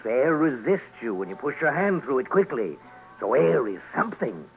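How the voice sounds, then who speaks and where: A man playing a learned, patient Italian professor in a vintage radio drama, speaking deliberately like a teacher. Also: mid-range voice, very animated, creaky, dull audio. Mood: pondering, instructive. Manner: slow, deliberate, declaiming like a teacher. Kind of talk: 1950s radio drama acting. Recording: vintage radio broadcast, music behind.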